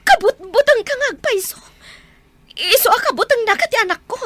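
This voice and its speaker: A woman's voice: very high-pitched, lively intonation, a little fast, pushed and bright, dry recording, heavily acted. An Ilocano woman playing an anxious, protective mother in an audio drama. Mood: upset.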